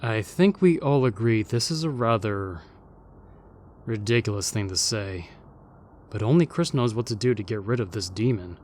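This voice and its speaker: A man's voice: lowish voice, lively intonation, normal speed, a little mellow, a little hiss or hum, close to an everyday voice. A man playing a grumbling, sceptical ghost in an audio drama, with dry, sardonic delivery.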